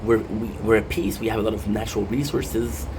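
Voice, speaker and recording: A man's voice: on the low side, level tone, a little fast, background noise. A French Canadian man with a Québécois French accent, talking casually and ramblingly while streaming a game, with many fillers and restarts. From Quebec, Canada. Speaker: gaming streamer.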